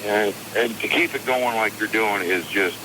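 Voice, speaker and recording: A man in his forties calling a radio show, chatting casually in a hesitant, halting way. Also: fairly low pitch. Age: 40s. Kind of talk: casual phone-in chat. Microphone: telephone. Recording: noisy phone call on radio.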